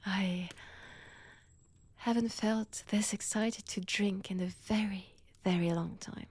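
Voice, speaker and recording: Breathy, slow French-accented vampire seductress acted by a woman. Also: mid-range voice, fairly even intonation, a little mellow. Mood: hungry, seductive. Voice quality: breathy, whispery. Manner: slow, teasing, deliberate delivery. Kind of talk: intimate ASMR audio roleplay. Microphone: close, dry microphone.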